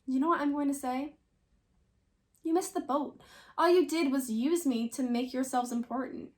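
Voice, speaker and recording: A woman's voice: high-pitched, moderate pace. A woman reading a modern-language scripture passage aloud as a scripted recitation. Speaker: scripture reader.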